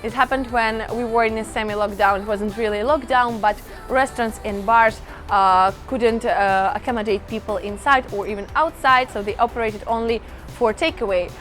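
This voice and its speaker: Chatty high-voiced woman vlogging outdoors. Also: moderate pace, a bit bright, faint music behind. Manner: chatty, enthusiastic, addresses viewers as guys. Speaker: travel vlogger. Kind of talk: casual travel vlog to camera. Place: outdoors in a small town.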